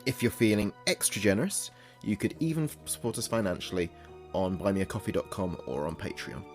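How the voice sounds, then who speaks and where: Music plays under a low male voice. He is a podcast host reading a scripted introduction, sounding as if he is getting over a cold. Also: brisk. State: recovering from a cold-like illness. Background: music behind the voice.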